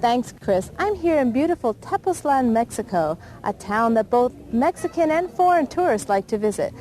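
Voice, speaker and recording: A woman's voice: on the high side, very animated, moderate pace, dark tone, rough recording. A woman working as a field reporter, delivering scripted links and interview questions.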